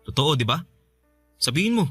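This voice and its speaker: An actor playing a hurt, resentful young boyfriend, sarcastic and accusing, in an emotional radio drama. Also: male voice, a little high, big pitch swings, a little fast, a bit bright, husky, no room sound, heavily acted. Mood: accusing, bitter. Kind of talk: Tagalog radio drama acting. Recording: music bed behind the voice.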